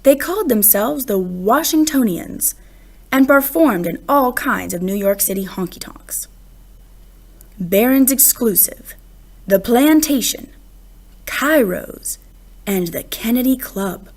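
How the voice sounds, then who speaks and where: A woman reading a children's picture book aloud as an expressive narrator, with deliberate phrasing and stressed words. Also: a little high.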